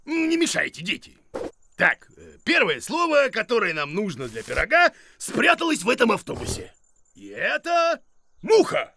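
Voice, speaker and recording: A man's voice: high-pitched, wildly swooping pitch, moderate pace, vocal fry, pushed and bright, slight background noise, heavily acted. A man voicing a silly, boastful hairy creature in a children's cartoon, pompous and given to exclaiming and muttering. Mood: busy, self-important. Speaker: main character of children's cartoon. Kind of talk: animated cartoon acting.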